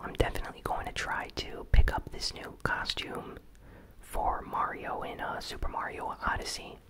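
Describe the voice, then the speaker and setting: A host speaks entirely in a breathy whisper, presenting gaming news as ASMR. The delivery is casual and relaxed, with informal phrasing throughout.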